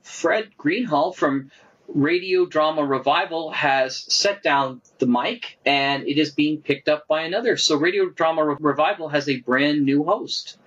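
A man's voice: on the high side, a little slow, a bit bright. A man co-hosting a podcast in casual, easygoing, chatty conversation. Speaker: podcast co-host. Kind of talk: casual chat. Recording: slightly dull, lo-fi sound.

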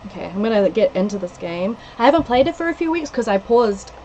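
A woman's voice: medium pitch, very animated, quick pace, warm tone, dull audio, slight background noise. A woman in her thirties from New Zealand with a New Zealand accent, chatting casually on a game livestream and reading out viewer comments with frequent giggles. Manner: chatty, giggly, lists place names from chat. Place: small room indoors. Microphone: headset.

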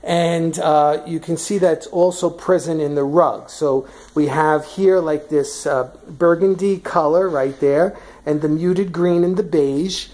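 A woman's voice: deep voice, steady tone, a little slow, few pauses, dark tone. A woman giving a casual walk-through of her house, chatty and full of fillers as she walks room to room behind a handheld camera. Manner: chatty, many fillers and restarts. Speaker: vlogger giving a house tour. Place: in a large furnished house, walking room to room. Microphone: handheld camera, speaker off screen.

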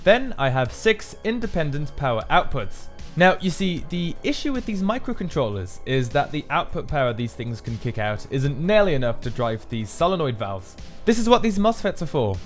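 A man's voice: on the high side, sing-song, normal speed, hardly any pauses. Man narrating a how-to over music. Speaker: maker presenting a build.

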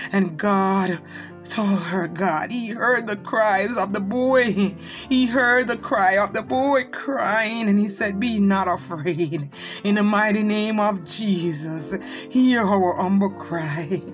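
A woman's voice: medium pitch, a bit bright. A woman leading fervent spoken prayer, reciting scripture and pleading with repeated exclamations of praise. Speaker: prayer leader.

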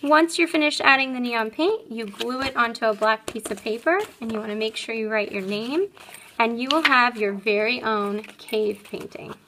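A woman's voice: a little high, normal speed, background noise. A woman, an elementary art teacher, demonstrating a craft step by step in a simple, upbeat way for young children.

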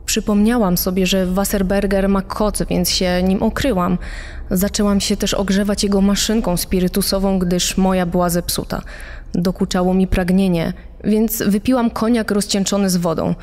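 A woman's voice: medium pitch, fairly even intonation, normal speed, darkish tone, close to an everyday voice. A woman playing a widowed survivor in her thirties, giving composed, matter-of-fact dramatised testimony. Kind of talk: dramatised first-person testimony. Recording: long hall-like reverb.

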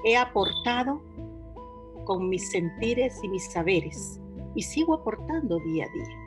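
Steady woman in her sixties, music behind. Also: mid-range voice, unhurried. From Honduras. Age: 60s. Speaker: older rights advocate. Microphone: video call webcam.

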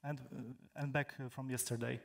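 A young man from Poland, a native Polish speaker, giving a technical talk on stage in a large hall, halting with many fillers and restarts. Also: mid-range voice, level tone, vocal fry. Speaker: conference speaker.

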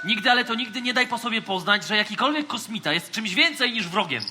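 High-pitched male voice, bossy space captain, boastful, theatrical, children's audio play, music underneath. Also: lively intonation, fast. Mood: stern, warning. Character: bossy, destruction-loving space captain, paranoid about aliens.

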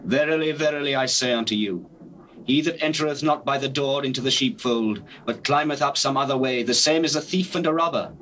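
An actor playing a solemn, authoritative holy teacher in dramatized scripture, declaiming in measured phrases with deliberate pauses. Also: male voice, mid-range voice, fairly bright tone, natural voice. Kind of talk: dramatized scripture, classical stage delivery. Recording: faintly noisy old cassette.

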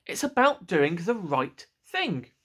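A bossy cat from a picture book, acted out by a man. The delivery is emphatic and insistent, slowly drawn out with a lively, swooping pitch. It sounds stubborn and sure of its rules. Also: husky, full character voice. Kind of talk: children's picture book read aloud.

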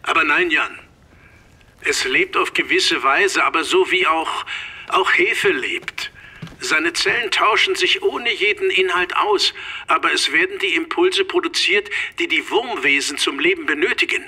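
An actor playing a scientist who explains things in a lecturing tone over a phone call in a German radio drama. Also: male voice, a little high, measured pace, pushed and bright, husky, full character voice. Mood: explaining. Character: brisk, lecturing male scientist. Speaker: professor, scientist.

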